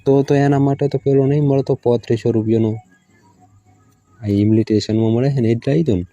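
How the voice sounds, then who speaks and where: A man's voice: medium pitch, steady tone, brisk, warm tone, lo-fi sound, soft background music, lightly acted. A man with a Gujarati accent playing a hard-up village lover who stalls, placates and bargains in a casual phone conversation. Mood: dissuading.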